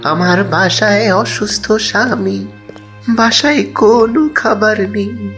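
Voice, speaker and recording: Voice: mid-range voice, slow, music in the background, close to an everyday voice. A performer voicing a poor, pleading beggar woman in a cartoon story. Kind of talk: cartoon story character dialogue.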